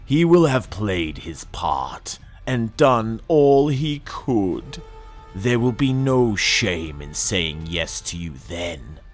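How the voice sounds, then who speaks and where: A man narrates dark fiction in a very deep voice. His delivery is slow, brooding and theatrical, with deliberate phrasing. Music plays behind the reading. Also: big pitch swings. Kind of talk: dramatic second-person audiobook narration. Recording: voice over background music. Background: dramatic music.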